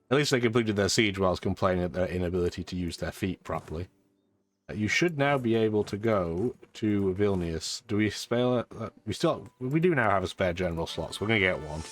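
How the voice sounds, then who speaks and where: A man with a stuffy, bunged-up voice giving casual, dry, chatty commentary as he plays a strategy game. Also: fairly low pitch. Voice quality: congested, stuffy nose. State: lingering sore throat, blocked sinuses. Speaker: gaming video host. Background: background music.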